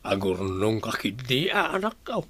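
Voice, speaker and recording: A man's voice: on the low side, very animated, a little slow, fairly bright tone, no room sound, full character voice. An actor with an Ilocano accent playing a caring older father giving advice in a radio drama. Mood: fatherly.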